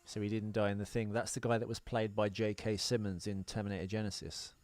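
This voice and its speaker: A man's voice: on the low side, flat delivery, fairly quick, darkish tone, no echo. A man co-hosting a podcast, casually explaining details in conversation about films. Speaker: podcast co-host. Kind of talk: casual film discussion.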